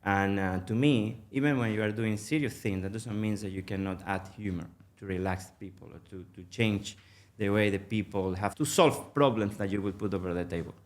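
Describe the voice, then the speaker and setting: A middle-aged man from Venezuela, Spanish native, speaking English with a Venezuelan accent, halting and full of fillers in a casual interview. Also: lowish voice. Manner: halting, many fillers and restarts. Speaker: guest, mergers and acquisitions manager.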